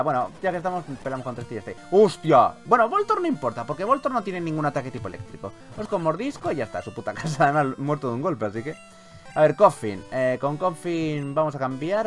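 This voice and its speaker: A man from Spain with a Spanish accent giving casual, expressive, sweary let's-play commentary on a video game. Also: mid-range voice. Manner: expressive, colloquial, swears freely. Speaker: gaming YouTuber, host.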